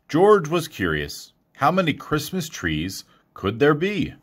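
A man reading a children's picture book aloud in an expressive storytelling manner. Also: a little high, takes it slowly, breathy edge. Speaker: storybook reader.

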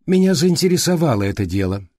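Man playing a thoughtful gentleman detective, polite, deliberate, exacting, mellow tone, audiobook reading. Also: on the high side, sing-song, no echo, voice pushed up. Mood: businesslike.

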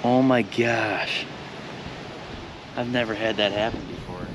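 A man's voice: lowish voice, unhurried. A man talking casually and offhandedly while fishing outdoors on a pier. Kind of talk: casual chat. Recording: loud wind noise on action cam.

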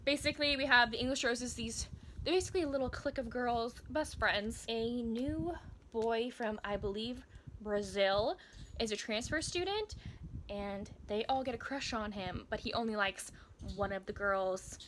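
An American woman in her twenties chatting casually to camera as a book vlogger, gushing and emphatic in an expressive way. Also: on the high side, moderate pace, slight background noise. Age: 20s. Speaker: book video blogger. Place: small indoor room by bookshelves.

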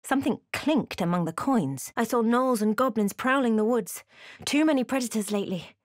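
An actress playing a watchful, introspective female fantasy adventurer, narrating her journey in measured, literary game prose. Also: on the high side, animated, no room sound, studio quality, close to an everyday voice. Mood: reflective. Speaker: video game character. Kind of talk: first-person past-tense scripted game narration.

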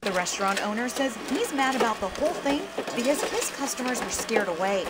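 A woman's voice: fairly high pitch, lively intonation, fairly quick, heavy background noise. A woman reporting the news in a scripted TV style.

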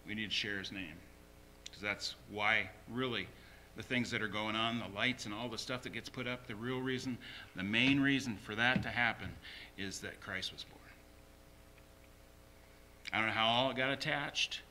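A man's voice: fairly low pitch, normal speed, bright, forceful tone, slight background noise, rough recording. A man preaching a sermon as a pastor, emphatically repeating short phrases.

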